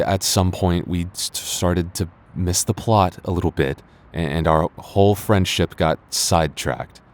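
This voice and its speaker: A man acting a stubborn but regretful young man in an intimate boyfriend roleplay, speaking in a halting, earnest way with stammers and sighs. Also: sits low. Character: stubborn, regretful young man confessing love to childhood friend.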